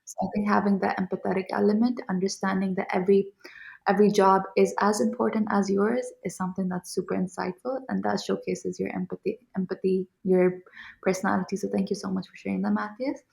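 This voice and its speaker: A woman's voice: medium pitch, little pitch movement, a little fast, vocal fry, darkish tone, rough recording. A young woman from Pakistan interviewing a guest, polite and affirming, thanking him often. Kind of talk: interview questions.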